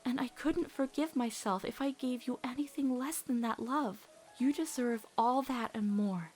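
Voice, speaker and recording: A woman voicing an earnest, awkward teenage girl in an emotional cartoon comic dub, heartfelt and halting when nervous. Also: on the high side, a little mellow, faint music behind, natural voice.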